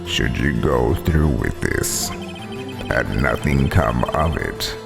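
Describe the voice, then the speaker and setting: Cold, haughty game villain, acted by a man, very low, slow, creaky, music behind. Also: animated, heavily acted. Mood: menacing. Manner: slow, haughty, drawn-out delivery. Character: cold, arrogant male prosecutor, menacing and disdainful. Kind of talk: voiced playthrough of a courtroom game.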